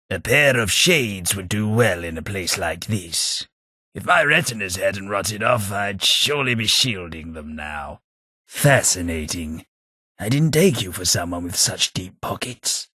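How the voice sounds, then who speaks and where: A man doing a posh, husky ghoul gentleman. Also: lowish voice, dry recording, full character voice. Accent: Southern English, upper-class. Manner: dry, wry, refined delivery. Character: posh, witty male ghoul gentleman companion. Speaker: game companion. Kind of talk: video game voice lines. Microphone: close studio mic.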